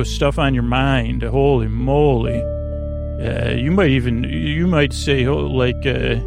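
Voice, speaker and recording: A man with a creaky voice hosting a bedtime sleep podcast, speaking in a soothing, meandering way with hesitant tangents and filler words. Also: mid-range voice. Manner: soothing, lulling delivery. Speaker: podcast host.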